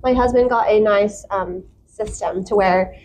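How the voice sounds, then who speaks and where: A woman talking as a podcast guest in a casual, candid and expressive way, prone to giggling. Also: mid-range voice, unhurried, rough recording. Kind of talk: casual chat. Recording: clear background noise.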